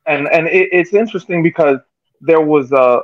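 A man giving casual sports analysis on a video call, halting and repeating words as he thinks. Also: on the high side. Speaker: sports podcast panelist. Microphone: video call microphone. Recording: dull, dry video-call audio.

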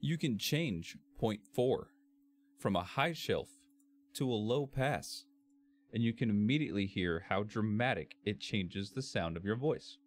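Male tutorial presenter, bass-heavy and fairly low, reading out instructions step by step at a measured delivery. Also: expressive. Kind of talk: scripted software tutorial narration. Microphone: computer microphone, screen-capture voice-over.

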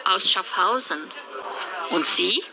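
A woman's voice: mid-range voice, lively intonation, takes it slowly, creaky, crowd noise. An actress playing a friendly woman making small talk in a scripted language test.

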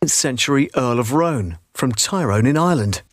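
A middle-aged man reading scripted voice-over narration for a promotional video in a measured storytelling way. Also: mid-range voice, lively intonation, no room sound, studio quality. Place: voice-over booth. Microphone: close booth microphone.

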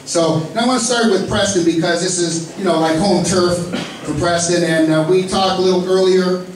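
A man's voice: high-pitched, fairly even intonation. Man announcing in an echoey hall. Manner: genial, joking, lists names with pauses. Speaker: press conference host. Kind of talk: announcing and moderating a press conference. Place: large echoey indoor hall. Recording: echoey hall recorded from far off, noisy.

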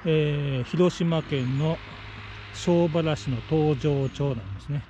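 Man, slightly high voice, casual cycling commentary, outdoor action camera, noticeable traffic noise. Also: takes it slowly, mellow, dull audio. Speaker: cycling vlogger. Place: outdoors on roadsides and on a bicycle. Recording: outdoor road noise, passing cars.

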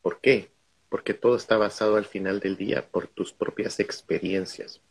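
A man's voice: lowish voice, fairly even intonation, moderate pace, vocal fry, slightly rough. A middle-aged man from Guatemala, a guest on a video call, telling stories from his own life in a reflective way. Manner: reflective, storytelling. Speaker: guest speaker.

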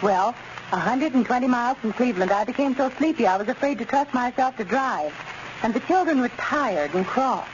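Higher-voiced woman reading a vintage radio testimonial. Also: expressive, a little fast. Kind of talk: scripted 1930s radio testimonial. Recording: muffled, noisy old radio recording.

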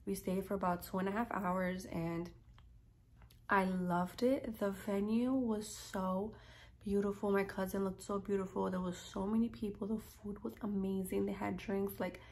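A woman's voice: medium pitch, fairly even intonation, normal speed, a little mellow, a little hiss or hum. A young woman, chatting casually and informally to camera as a lifestyle vlogger. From Queens, New York, United States.

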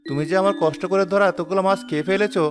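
High-voiced kind village boy played by a man. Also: fairly even intonation, racing, soft background music, natural voice. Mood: kind, reassuring. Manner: gentle, reassuring. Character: kind, patient, helpful young village fisher boy. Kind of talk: animated cartoon dialogue.

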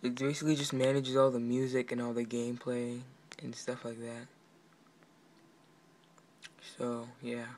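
Man, medium pitch, monotone, offhand explaining, halting delivery, handheld camera audio with slight noise. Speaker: amateur game developer. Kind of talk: casual screen walkthrough, explaining his project. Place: indoors at a computer. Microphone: handheld camera pointed at monitor. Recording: noisy amateur room recording.

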